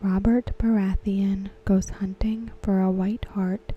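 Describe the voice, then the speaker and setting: A woman whispering softly as an ASMR host, talking through a TV episode. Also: medium pitch, flat delivery, takes it slowly, lo-fi sound. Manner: whispered, unvoiced and close. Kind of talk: soft-spoken ASMR commentary.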